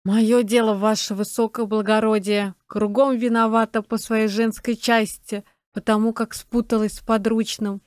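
Audiobook role: peasant wife confessing guilt, humble, resolute, highish clear voice, female performer. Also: level tone, normal speed, few pauses, darkish tone, no room sound, clean studio sound, natural voice. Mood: pleading, resolute. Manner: humble, resolute folk speech. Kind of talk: audiobook character acting.

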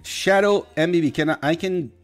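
A man's voice: a little high, moderate pace, faint music behind. A young man from Quebec with a slight Quebec French accent, casually chatting through a mobile game stream. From Quebec, Canada. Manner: rambling, chatty, talks to chat. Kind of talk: casual gameplay commentary.

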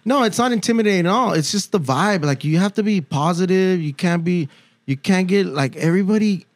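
A middle-aged musician guest on a podcast, talking in a relaxed, rambling way with many fillers. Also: male voice, high-pitched, fairly quick, no echo. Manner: laid-back, rambling, many likes and restarts. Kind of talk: casual chat. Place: podcast studio, indoors. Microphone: studio mic, playing acoustic guitar. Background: acoustic guitar.

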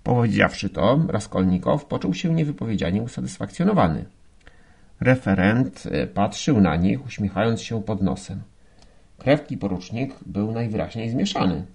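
A man reading a novel aloud as an audiobook narrator, in expressive literary style. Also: on the low side, moderate pace, gravelly, slightly rough.

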